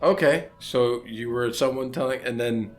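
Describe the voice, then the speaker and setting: A man hosting a loose podcast conversation, cursing casually and cracking jokes at his own expense. He talks at a mid pitch and laughs easily. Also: soft background music. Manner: profane, joking, quick to laugh. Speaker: podcast host and chef. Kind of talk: casual chat.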